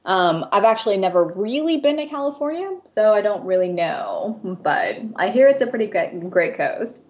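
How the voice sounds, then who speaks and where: A young woman with an American accent, raised in Japan, talks about her life in a relaxed, friendly way, filling her pauses with ums. Her voice sits at a middle pitch, and her audio sounds a little dull and muffled. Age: 20s. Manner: friendly, laughs easily, many ums. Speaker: guest artist. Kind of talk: casual interview chat.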